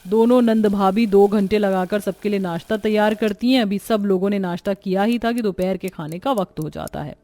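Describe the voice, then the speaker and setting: A woman narrator reading a scripted cartoon family story in an expressive storytelling manner. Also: mid-range voice, moderate pace, hardly any pauses.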